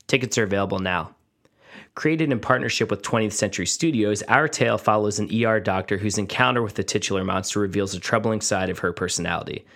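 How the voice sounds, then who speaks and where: Low-pitched man hosting a podcast. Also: quick pace, fairly bright tone. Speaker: podcast host. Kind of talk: scripted podcast introduction.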